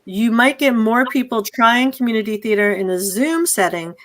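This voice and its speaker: A woman's voice: fairly high pitch, normal speed. Chatty woman hosting an informal panel. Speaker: host of a virtual theatre talkback. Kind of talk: casual panel discussion. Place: video call.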